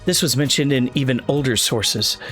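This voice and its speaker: A man voicing a helpful, earnest ghost boy from a video game, explaining things in a deliberate, halting way. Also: mid-range voice, fairly bright tone, lightly acted. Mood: earnest, explanatory. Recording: game music playing behind the voice.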